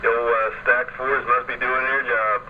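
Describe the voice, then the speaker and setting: Man, relaxed rambling ham operator, muffled lo-fi signal, loud static hiss. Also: mid-range voice, normal speed. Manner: relaxed, rambling, chuckles often. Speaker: amateur radio operator. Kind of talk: casual radio chat.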